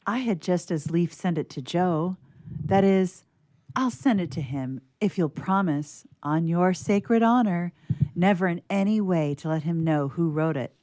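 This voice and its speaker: A woman playing a gentle, proper, earnest schoolgirl in a radio theatre piece, speaking with careful deliberation. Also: lowish voice, unhurried, dry recording, close to an everyday voice. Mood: quiet, earnest. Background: harp underscoring.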